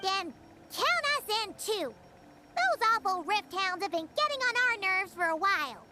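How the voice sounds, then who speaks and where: Very high, squeaky childlike fairy sidekick. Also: female voice, sing-song, unhurried, very noisy, full character voice. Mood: determined. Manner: refers to self in third person, exclamatory. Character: tiny cheerful chatty childlike fairy companion, female. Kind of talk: video game voice acting. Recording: loud game music behind.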